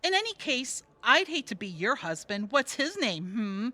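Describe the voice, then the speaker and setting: Brassy, sarcastic streetwalker, high-pitched. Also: female voice, big pitch swings, moderate pace, pushed and bright. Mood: teasing, unfazed. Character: brassy, sarcastic streetwise woman prostitute, jaded jail regular. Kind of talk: audio theatre comedy acting.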